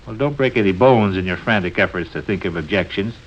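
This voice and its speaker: An actor with an American accent playing an excitable, pompous middle-aged father in a 1940s radio comedy. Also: low voice, quick pace. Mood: testy, exasperated. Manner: pompous, florid wording. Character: excitable, pompous, easily exasperated small-town father. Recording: muffled old radio recording.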